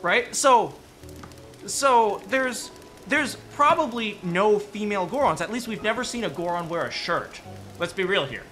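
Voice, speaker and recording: A man talks in a loose, rambling way, circling back on himself and tagging lines with questions. His voice sits high and swings widely in pitch. It sounds bright over faint background music. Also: expressive, unhurried. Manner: rambling, frequent restarts and "right?" tag questions. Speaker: streamer discussing game lore with chat. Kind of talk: casual rambling chat.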